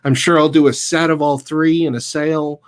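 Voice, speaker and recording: A man's voice: fairly high pitch, fairly even intonation, normal speed. A man appearing as a guest game designer on a casual livestream, blunt and wry in his opinions.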